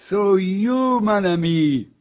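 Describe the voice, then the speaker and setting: An actor playing an astute, theatrical Belgian detective with a French accent, speaking formally and precisely in a radio drama. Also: male voice, high-pitched, lively intonation, slow, no room sound, lo-fi sound. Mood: amused, teasing. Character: astute, theatrical Belgian male detective, fussy and gently teasing.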